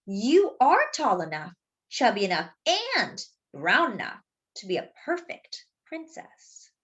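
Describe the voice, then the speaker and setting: A woman voicing a gentle storybook mother who comforts in firm, measured phrases. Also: mid-range voice, sing-song, voice pushed down. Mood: reassuring.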